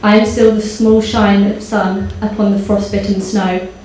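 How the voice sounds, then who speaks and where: A woman's voice: mid-range voice, flat delivery, unhurried, a little mellow. A young woman giving a prepared speech and poetry recital on stage in a hall. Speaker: poet at own book launch. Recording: echoey hall, faint background noise.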